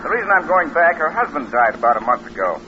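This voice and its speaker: A man's voice: a little high, very animated, fast, pushed and bright. An actor playing a trusting, easygoing motorist in his twenties, in 1940s radio style. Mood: candid, reflective. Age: 20s. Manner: frank, easygoing. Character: candid, trusting young motorist, 27, heir to aunt. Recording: dull, noisy old radio recording.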